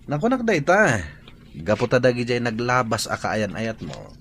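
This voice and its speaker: Animated, teasing buddy. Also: male voice, mid-range voice, moderate pace, a little hiss or hum. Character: teasing, sceptical male buddy. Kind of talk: Ilocano radio drama acting.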